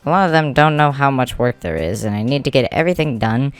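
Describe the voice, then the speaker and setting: A man's voice: medium pitch, expressive, fast talker, no echo, natural voice. A man playing a stubborn, polite, workaholic clan leader in an amateur comic dub, talking in an easygoing, emphatic way. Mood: weary, defensive. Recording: music behind the voice.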